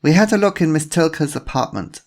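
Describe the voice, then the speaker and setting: A man's voice: fairly high pitch, expressive, moderate pace, pitched above the performer's own voice. A man voicing a female police inspector with a slight German accent, politely persistent in her questions. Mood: probing.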